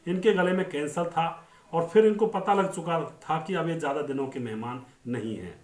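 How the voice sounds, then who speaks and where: Echoey recording, man, slightly high voice, informal storyteller narration, talking straight to viewers, repeats points for emphasis. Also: normal speed, a little mellow. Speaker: narrator of film-star biography videos. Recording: roomy, echoing voice-over.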